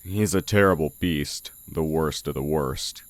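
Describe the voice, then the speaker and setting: A man playing a sly oni who poses as a humble village blacksmith in an audio roleplay, talking in a measured, condescending way. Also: a bass voice, no room sound. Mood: calm, knowing. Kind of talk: audio roleplay, intimate character acting. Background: night forest ambience with crickets.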